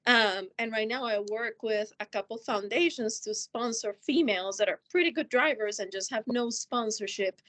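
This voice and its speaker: A woman's voice: fairly high pitch, normal speed, few pauses, fairly bright tone. A woman from Colombia, a native Spanish speaker with a Colombian accent, telling a personal story unscripted as a panelist. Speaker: panelist, finance executive.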